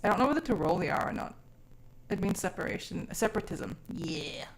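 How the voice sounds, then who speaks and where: A woman's voice: medium pitch, animated, a little fast. A woman born in South Africa who grew up mainly overseas, chatting casually and expressively as a live streamer, with informal, sweary phrasing. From born in South Africa, grew up mainly overseas from age six. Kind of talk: casual chat.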